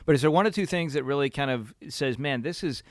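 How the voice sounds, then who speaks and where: A man's voice: medium pitch, fast, no echo, clean studio sound. A man hosting an interview, affirming his guest often and asking open questions in a conversational way. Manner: affirming, with frequent "mm-hmm" backchannels. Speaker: podcast host, interviewer.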